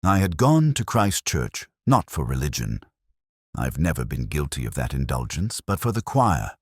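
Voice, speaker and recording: Very deep, melancholy detective played by a man. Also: sing-song, husky, dry recording, clean studio sound, heavily acted. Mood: reflective. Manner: literary, measured, wistful. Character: melancholy middle-aged male English police detective narrating his notebook. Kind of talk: scripted first-person dramatic narration.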